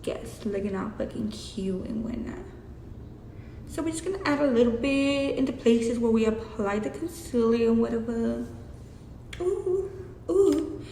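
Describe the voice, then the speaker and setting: A young woman with a Mexican accent talks her way through a makeup tutorial, loose and profane, with chatty asides. Her voice sits a little high. The room is roomy with a long echo, and there is a steady hiss underneath. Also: a little slow. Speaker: beauty vlogger.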